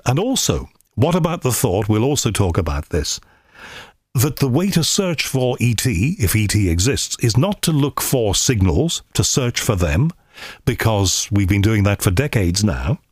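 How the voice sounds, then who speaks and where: Man, podcast host, mid pitch, dry close mic, chatty and keen. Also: no echo. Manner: chatty, enthusiastic, many ums. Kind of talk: scripted podcast introduction and interview. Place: cold room at home.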